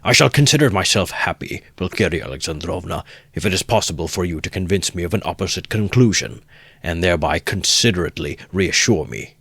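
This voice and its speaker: A man's voice: low-pitched, animated, fairly quick, fairly bright tone, breathy edge, no echo, studio quality, full character voice. A man voicing a pompous, easily offended middle-aged suitor who speaks in a stiff, sententious way. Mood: huffy, pompous. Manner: sententious, aggrieved and stiffly formal. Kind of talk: audiobook character voice.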